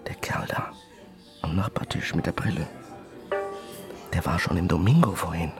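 Very low-voiced, coaxing lover. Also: male voice, normal speed, in a whisper, over music. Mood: suspicious, guarded. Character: smooth lawyer lover, coaxing, suspicious and snobbish. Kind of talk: naturalistic radio crime drama acting. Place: restaurant scene in a radio drama.

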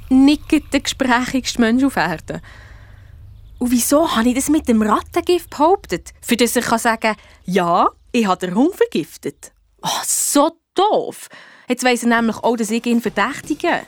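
Schoolgirl detective, Swiss accent, colloquial, curious, cheeky, expressive, for children's audio drama. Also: a little high, normal speed, dry recording. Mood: confiding, annoyed. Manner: colloquial, expressive, thinks aloud. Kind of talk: children's radio detective play, first-person narration and dialogue.

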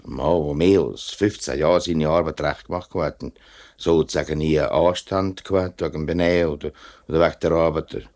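Deep-voiced, earnest Swiss union man. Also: fairly even intonation, a little fast, a little mellow. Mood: earnest pleading. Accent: Swiss German. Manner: earnest, hesitant, respectful. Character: earnest, upright middle-aged male union workman, deferential. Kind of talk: 1950s radio play acting.

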